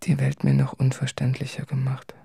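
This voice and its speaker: A brooding son addressing his father in a radio play. The voice is husky and gravelly, introspective and flat in pitch, recorded dry. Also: normal speed, no room sound, full character voice. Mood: wistful reproach. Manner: subdued, introspective. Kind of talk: radio drama, literary monologue.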